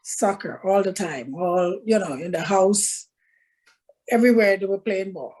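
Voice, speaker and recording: An older woman from Trinidad with a Trinidadian accent, answering interview questions in a soft, hesitant way with many pauses. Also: medium pitch. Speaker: interviewee, mother of a footballer. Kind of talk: casual interview.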